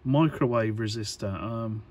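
A man giving an informal hands-on tutorial, talking in a halting, hesitant way as he thinks aloud. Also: lowish voice, darkish tone. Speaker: electronics hobbyist presenter.

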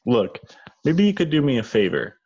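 A man's voice: mid-range voice, big pitch swings, moderate pace, mellow, husky. A man playing a persistent, polite magazine reporter in a comedy table read. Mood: apologetic, coaxing. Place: indoors at home on a video call. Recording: slightly dull video call audio.

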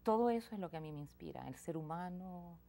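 A woman's voice: lowish voice, expressive, quick pace, vocal fry. A woman, a singer-songwriter speaking reflectively as a guest in a small TV studio interview. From Chile. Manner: reflective, soft-spoken, with frequent restarts. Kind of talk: casual interview conversation. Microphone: lapel mic.

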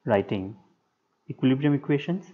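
A man giving a structural engineering lecture over a whiteboard screen recording, speaking in short, halting, measured phrases. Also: mid-range voice, expressive, husky. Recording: dull lo-fi sound.

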